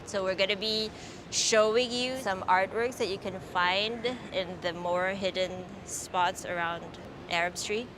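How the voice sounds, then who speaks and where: A woman's voice: mid-range voice, fairly even intonation, a little slow, noisy background. A woman guiding a casual, upbeat walking tour of street art outdoors.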